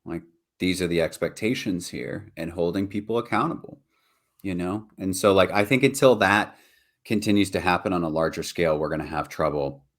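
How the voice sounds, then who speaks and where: Podcast co-host, man, very deep low voice, earnest and reflective, conversational delivery. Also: brisk. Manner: earnest, reflective, frequent "right?" and "like". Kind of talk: casual earnest discussion.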